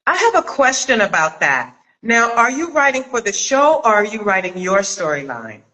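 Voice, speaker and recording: A woman's voice: mid-range voice, very animated, moderate pace, sharp tone, low-quality recording. A woman hosting a casual live-stream chat interview over a video call, talking in an effusive, gushing way. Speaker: host of a live chat interview, audio drama maker.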